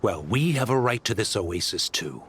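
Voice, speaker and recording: A scornful desert outcast in a fantasy game. He is defiant and menacing, with a forceful tone and animated pitch over faint music. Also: normal speed. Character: defiant, scornful rival desert nomad, a man.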